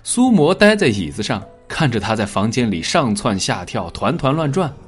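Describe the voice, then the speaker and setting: Male narrator, scripted story, animated intonation, husky tone, measured expressive delivery. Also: medium pitch, soft background music. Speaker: audiobook narrator.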